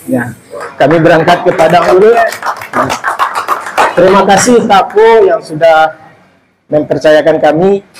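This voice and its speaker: A man's voice: on the high side, a little slow, long pauses, over music, poor audio. A man from West Sumatra giving a seminar talk to a live audience as guest speaker, in a loose, rambling way with many restarts. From Solok, West Sumatra, Indonesia.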